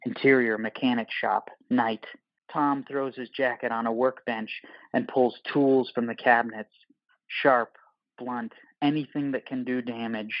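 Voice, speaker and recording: A male narrator with a medium-pitched voice reads action lines for an audio drama. His phrasing is clipped, deliberate and dramatic, close and dry, with a slightly dull sound. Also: dry recording. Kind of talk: scripted narration of screenplay directions.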